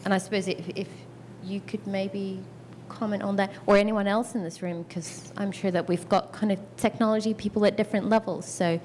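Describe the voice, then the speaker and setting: A woman's voice: medium pitch, little pitch movement, fairly quick, few pauses, a little mellow, slight background noise. A woman in her thirties asking a long question from the audience, chatty and self-deprecating, and acting out her own reactions as she tells her story. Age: 30s. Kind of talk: question from the floor.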